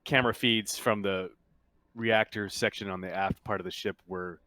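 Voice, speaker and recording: A man acting as game master in a tabletop roleplaying game, speaking haltingly in character. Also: lowish voice, fairly even intonation, bright, forceful tone, no room sound.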